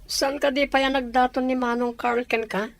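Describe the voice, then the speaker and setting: A woman's voice: high voice, flat delivery, moderate pace. A woman acting a worried, respectful younger sibling in a radio drama, with an Ilocano accent. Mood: worried, hesitant.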